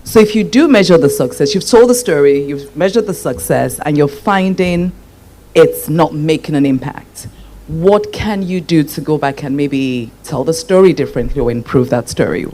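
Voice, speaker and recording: An audience member puts a question to a speaker at a talk. The voice sits at a middle pitch, and the question is built up step by step with repeated phrasing. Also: moderate pace, warm tone. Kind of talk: question from the floor.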